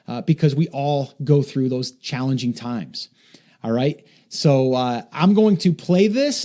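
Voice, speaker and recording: A man's voice: medium pitch, warm tone. A man hosting a solo podcast, talking earnestly and encouragingly to listeners, often repeating himself for emphasis. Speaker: podcast host.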